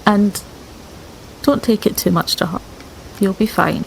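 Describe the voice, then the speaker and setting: A woman's voice: mid-range voice, measured pace, gravelly, a little hiss or hum, rough recording. A woman casually narrating and speaking for her character in a tabletop roleplaying game.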